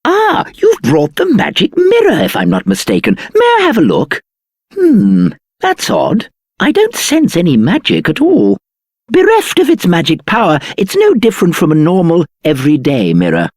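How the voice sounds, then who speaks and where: A man's voice: high voice, wildly swooping pitch, moderate pace, darkish tone, dry recording, studio quality, full character voice. A man playing a polite, scholarly royal magic expert in a video game, musing in formal phrases.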